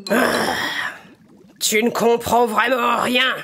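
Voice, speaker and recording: A woman's voice: on the high side, flat delivery, takes it slowly, gravelly, pushed and bright, faint music behind, full character voice. A woman voicing a scheming sea witch in a cartoon, gloating and theatrical, with cackling laughs. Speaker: voice actress. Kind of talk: cartoon voice acting.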